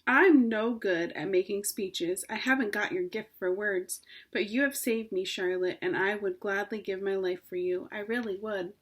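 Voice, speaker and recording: A woman voicing an anxious, earnest young male pig who pleads in a high, emotional voice. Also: normal speed, few pauses, husky, close to an everyday voice. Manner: pleading, rises high and loud when upset.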